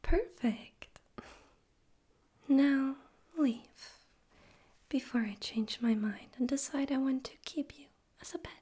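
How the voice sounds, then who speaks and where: A woman voicing a smug, theatrical male demon overlord in an ASMR roleplay, in a soft breathy whisper with a deliberate, teasing, condescending manner. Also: sits high. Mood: teasing menace.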